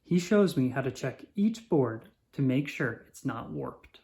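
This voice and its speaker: A narrator reading a children's picture book aloud in an expressive, measured storytelling manner. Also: medium pitch, vocal fry.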